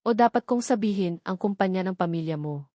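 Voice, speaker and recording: A woman's voice: mid-range voice, expressive, a little fast, warm tone, dry recording, clean studio sound, natural voice. A woman voicing a hurt, proud young woman who speaks coldly and sarcastically in an audio drama. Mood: cold, sarcastic. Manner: cold, sarcastic. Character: hurt, proud young woman, cold and bitter toward her ex.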